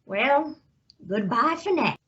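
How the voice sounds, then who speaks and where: Woman acting a swooping, bright, scolding neighbor lady. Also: high voice, animated, slow, muffled recording, full character voice. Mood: teasing, mock-scolding. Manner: effusive, mock-scolding. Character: teasing, scolding, motherly older neighbor woman. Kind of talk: old-time radio drama acting.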